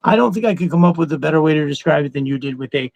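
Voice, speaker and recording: A middle-aged man co-hosting a casual fan chat, talking in an excitable, rambling, profanity-laced way. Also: a little high, very fast, vocal fry, no echo, muffled recording. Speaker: podcast co-host.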